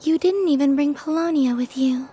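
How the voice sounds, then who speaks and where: Woman voicing a high-voiced, serene, dreamy maiden. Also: moderate pace, slight background noise, lightly acted. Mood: calm teasing. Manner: calm, innocent, unruffled. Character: serene, dreamy young maiden, gently teasing. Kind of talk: video game character voice acting.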